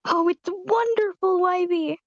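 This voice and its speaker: A reader plays an adventurous young girl with a very high voice. She gushes in drawn-out exclamations, wide-eyed and delighted. The sound is dull and muffled. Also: no room sound. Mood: amazed. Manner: gushing, exclamatory. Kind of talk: character acting in a screenplay table read.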